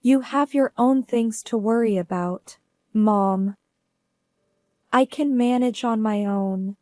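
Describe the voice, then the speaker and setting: A woman voicing a cheerful, reassuring young college daughter with a bright, girlish lift. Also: fairly high pitch, slow, dry recording, studio quality. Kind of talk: scripted narration.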